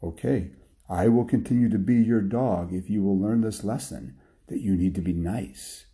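A man's voice: lowish voice, moderate pace, mellow, natural voice. A man voicing a stern dog who has become the master, bossy and lecturing, in a told story. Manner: bossy, scolding.

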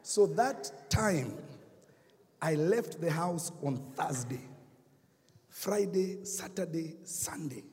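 A man with a Kenyan accent preaching a sermon, telling a story and swinging from hushed to shouting. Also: fairly high pitch, lively intonation, slow, pauses often, husky, in a large hall. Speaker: pastor. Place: church hall.

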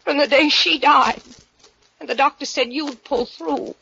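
A high-voiced, cold and vengeful wife in a vintage radio thriller. She taunts with controlled, deliberate menace and a creaky edge. The old recording is muffled and noisy. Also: steady tone, pushed and bright, heavily acted. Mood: coldly menacing. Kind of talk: 1940s radio thriller acting.

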